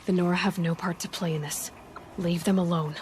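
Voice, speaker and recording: An actress playing a defiant young captive huntress in a video game, speaking in a terse, challenging way. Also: female voice, fairly low pitch, fairly even intonation, fairly quick, darkish tone, a little hiss or hum, close to an everyday voice. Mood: stern protest. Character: defiant young woman hunter held captive. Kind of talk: dramatic video game acting.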